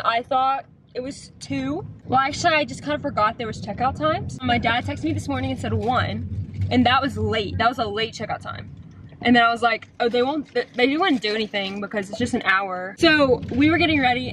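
A woman in her twenties, chatting casually into a handheld camera as she vlogs from a car and a hotel room, telling stories with quick asides. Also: on the high side, noisy background. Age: 20s. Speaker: vlogger. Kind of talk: casual vlog chat. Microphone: handheld camera at arm's length.

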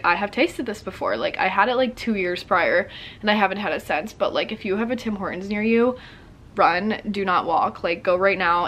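A woman's voice: mid-range voice, a bit bright, a little hiss or hum. A young woman in her twenties chatting casually to camera while doing her makeup at home, with a slightly congested, sore throat. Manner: chatty, lots of "like" fillers. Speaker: beauty vlogger. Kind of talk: casual get-ready-with-me chat. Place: bedroom at home. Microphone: camera in front, close framing.

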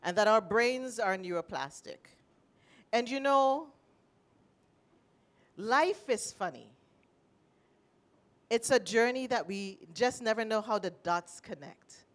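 Animated Trinidadian woman on a panel. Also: a little high, takes it slowly, long pauses. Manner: animated, emotional, with laughs. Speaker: panel speaker. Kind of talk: personal talk at a panel. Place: panel stage in a large indoor room. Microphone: handheld mic.

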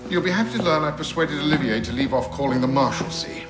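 An actor playing a stern, dryly amused older gentleman in a video game, formal and measured. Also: mid-range voice, lively intonation, over music, close to an everyday voice. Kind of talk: video game cutscene acting.

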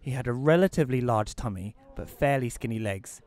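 A man playing a bitter, reclusive widower who narrates an audio play in a wry, deadpan way. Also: mid-range voice, a little fast, natural voice.

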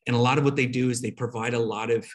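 A man's voice: medium pitch, fairly even intonation, racing. Man presenting slides in informal explanatory style. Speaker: webinar presenter. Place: online video call.

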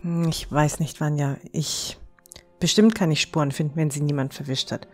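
Gentle young fantasy heroine, female actor, careful, fairly low-pitched, radio play style. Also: fairly even intonation, normal speed, faint music behind. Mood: doubtful. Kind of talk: fantasy audio drama acting.

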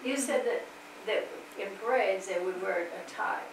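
A woman's voice: medium pitch, measured pace, gravelly. Woman, audience member, hesitant question, far from the mic, echoey room, hiss. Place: small meeting room. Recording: distant, roomy, with hiss.

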